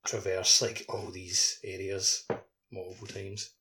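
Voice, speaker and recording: A man on a video game podcast, chatting casually in a tentative, halting way. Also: low voice, level tone, bright, forceful tone, slightly rough. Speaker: podcast co-host.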